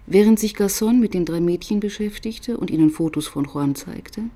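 An actress playing a dry, probing, twice-divorced police inspector in a German radio crime drama, measured and matter-of-fact. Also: female voice, mid-range voice, mellow, lightly acted. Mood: reflective narration.

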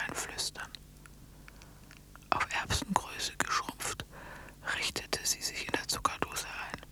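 An actress playing a tiny shrunken old woman, telling a strange fairy tale in a breathy whisper for radio drama. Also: close to an everyday voice, low-quality recording. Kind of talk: slow dramatic storytelling in a radio play.

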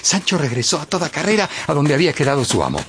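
A man narrating a radio drama in a lively scripted storytelling style. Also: a little high, quick pace, slight background noise.

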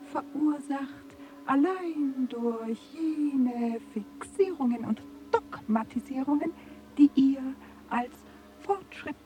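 An actress playing a solemn, menacing cosmic being in an audio drama, with deliberate, incantatory phrasing. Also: female voice, high voice, dark tone, rough recording.

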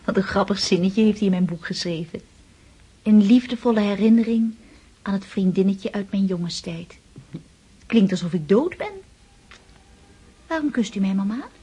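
An actress playing an impulsive, affectionate young countess in love in a radio drama, girlish and effusive, with a breathy, husky voice. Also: medium pitch, animated, normal speed, long pauses, dark tone. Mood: lovestruck. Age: young adult.

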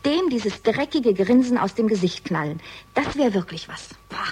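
Husky, high-pitched, cheeky spirited woman. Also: lively intonation, moderate pace, darkish tone. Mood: excited, musing. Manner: teasing, frank. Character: cheeky, blunt, spirited woman, teasing and tough. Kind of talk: 1960s German radio crime drama acting.

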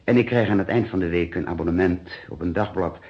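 An actor in a Dutch radio detective drama playing an anxious, lonely male murder suspect in custody, speaking haltingly. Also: low voice, breathy edge. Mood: anxious, hesitant. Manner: hesitant, halting. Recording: muffled old radio play recording.

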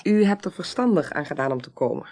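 Brisk, expressive female clerk. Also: low-pitched. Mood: formal, inquiring. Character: composed, courteous female court clerk investigating a death. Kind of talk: naturalistic Dutch radio drama acting.

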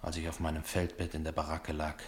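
A mysterious foreign soldier in a radio drama. His voice is low, and he speaks in solemn, lyrical phrases, brooding and homesick. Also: completely flat delivery. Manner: solemn, poetic, measured delivery. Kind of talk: German radio play acting.

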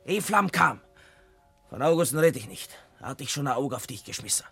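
A man acting a coarse, pushy rustic suitor in a German radio drama, cursing in dialect with a rough, husky voice. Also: medium pitch, lively intonation, fairly quick, bright, forceful tone, no echo, faint music behind, exaggerated voice. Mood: agitated, insistent.

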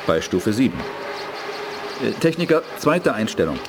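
An actor playing a self-important, formal professor in a 1980s radio drama. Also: male voice, mid-range voice, very animated, moderate pace, background noise. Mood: commanding. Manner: lecturing, formal. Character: self-important male scientist, condescending, prone to panic.

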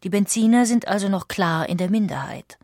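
A woman reads scripted documentary narration, articulating clearly as she explains. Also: mid-range voice, fairly quick, a little mellow, no room sound, clean studio sound.

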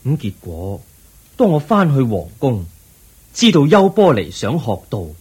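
A man with a Hong Kong Cantonese accent playing the Buddha, a calm, wise teacher who counsels gently and patiently in a radio drama. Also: mid-range voice, a little slow, breathy edge, full character voice.

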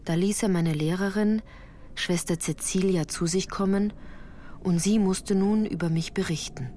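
A woman's voice: mid-range voice, fairly even intonation, measured pace, mellow, slight background noise. A woman reading scripted first-person literary narration for a radio play in a composed, articulate storytelling manner.

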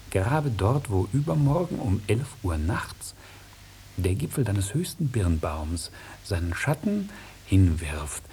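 An actor playing a sly, mocking, melancholy old dragon who knows everything, declaiming theatrically in a 1950s German radio play. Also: male voice, on the low side, full character voice. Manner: theatrical, declaiming, swooping from hushed to loud. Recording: hissy 1950s radio recording.

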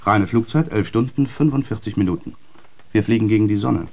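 Courteous airline steward, lowish voice. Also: male voice, fairly quick, gravelly, a little mellow. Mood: courteous, formal. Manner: polite, formal, announcement-like. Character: courteous Dutch airline steward named Adrian, formal announcer. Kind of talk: radio drama acting.

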